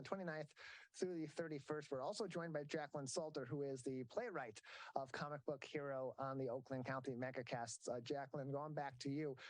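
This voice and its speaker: A man's voice: fairly high pitch, no echo, rough recording. A man hosting an interview, asking long, winding questions with some fillers. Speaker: host.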